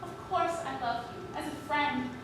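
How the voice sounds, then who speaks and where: An actress playing an anguished woman confessing forbidden love in a serious stage drama, earnest, halting and intense. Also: high-pitched, lightly acted. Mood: defensive. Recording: very echoey room, distant mic, noisy.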